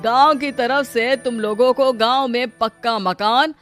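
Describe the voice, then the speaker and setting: A woman voicing a plain-spoken village man, highish voice. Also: fairly even intonation, unhurried, a bit bright, soft background music. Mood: encouraging. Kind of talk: Hindi moral-story acting.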